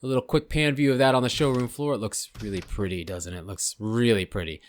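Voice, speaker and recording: A man casually talking over game footage, rambling and unsure of himself, a little hoarse from losing his voice. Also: medium pitch, fairly even intonation, fast talker. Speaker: gaming channel host. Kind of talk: casual gameplay commentary.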